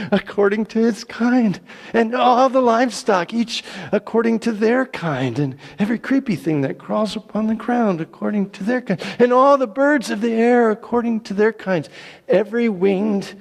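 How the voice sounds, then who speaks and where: Male scripture reader, slightly higher-pitched, deliberate and theatrical, dramatic narration in a reverberant hall. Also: vocal fry. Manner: theatrical, declamatory, deliberate phrasing. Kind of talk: dramatic Bible reading, storytelling narration. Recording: long echoing hall reverb.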